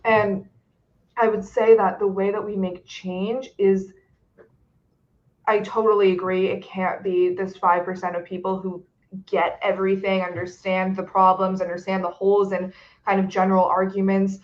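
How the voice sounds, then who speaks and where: A woman's voice: medium pitch, flat delivery, normal speed. Earnest young woman on a dull line. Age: young adult. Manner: earnest, frequent "like" fillers. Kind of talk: webcast discussion. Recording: dull lo-fi audio.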